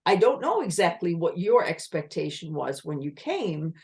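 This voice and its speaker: A woman presents an online talk on communication, speaking into a video call microphone. Her pitch rises and falls a great deal as she explains. She keeps putting rhetorical questions to her listeners. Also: very animated, moderate pace. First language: English.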